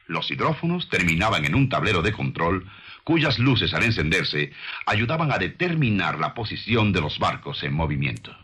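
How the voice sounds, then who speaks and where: A man reading scripted documentary narration with measured, dramatic storytelling delivery, on an old film soundtrack. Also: sits low, sing-song, sharp tone, slight background noise.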